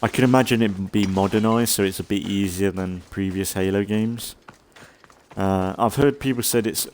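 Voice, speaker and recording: A man giving casual, rambling commentary as a let's play host, thinking aloud in a halting way and sounding slightly congested. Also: on the low side, darkish tone, a little hiss or hum. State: slightly congested from an oncoming cold.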